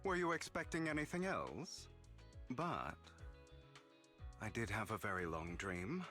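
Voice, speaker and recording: An actor playing a theatrical, teasing, wounded young ex-thief in a game's story scenes, sometimes breathy. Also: male voice, on the low side, very animated, measured pace, pushed and bright, full character voice. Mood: playful, smug. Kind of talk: scripted game story dialogue. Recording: music behind the voice.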